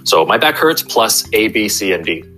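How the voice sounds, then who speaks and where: Bright-voiced, low-pitched man explaining chiropractic. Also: big pitch swings, normal speed, soft background music. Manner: explains with everyday examples, many fillers and restarts. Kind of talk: casual interview talk.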